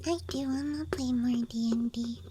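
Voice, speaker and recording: A woman giving a hesitant, musing, self-deprecating ASMR ramble in a soft, breathy whisper. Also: a little high, normal speed, background noise. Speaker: ASMR creator. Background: soft night ambience.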